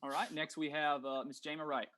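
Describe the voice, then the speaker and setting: A man's voice: a little high, little pitch movement, a little fast, background noise. A man hosting an online panel, introducing each guest with fillers and repeated words. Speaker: host.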